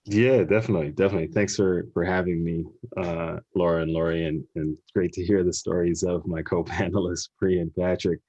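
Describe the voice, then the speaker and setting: Man, from Canada, deep voice, relaxed storyteller, dry dull call audio. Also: fairly quick, hardly any pauses, dry recording. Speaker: panelist, founder. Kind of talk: webinar panel talk. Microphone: video call.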